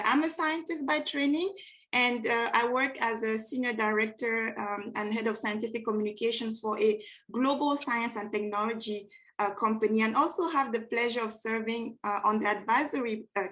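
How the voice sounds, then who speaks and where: A woman's voice: on the high side, steady tone, normal speed, a bit bright. A woman hosting a networking event, introducing a guest speaker in an enthusiastic, emphatic way. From Cameroon and France. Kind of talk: welcome speech at an online networking event.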